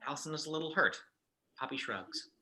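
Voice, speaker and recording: Bright-voiced man reading script directions. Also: a little high, expressive, fairly quick. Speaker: narrator of stage directions. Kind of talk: reading screenplay action lines aloud at an informal table read.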